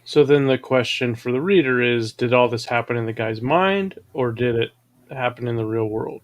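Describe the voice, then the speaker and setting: A man with a medium-pitched voice hosts a review show. He comments in an informal, conversational style, as in a casual chat. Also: normal speed, muffled recording. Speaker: host reviewing animated audio dramas.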